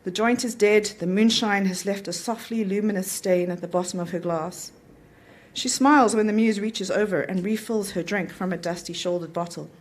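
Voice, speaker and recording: A woman's voice: medium pitch, moderate pace. A woman reading a literary short story aloud at an event, in the narrator's voice. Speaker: author reading own story.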